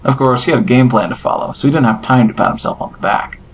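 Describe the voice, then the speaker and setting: A man narrates a scripted story in a medium-pitched voice. It is an amateur recording with slight noise behind him. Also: quick pace.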